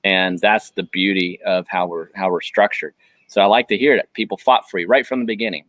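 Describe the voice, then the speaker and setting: A man's voice: low voice, steady tone, brisk, fairly bright tone, dry recording. A man hosting an interview, affirming his guest and talking in a rambling way with frequent restarts. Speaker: podcast host. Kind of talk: conversational interview.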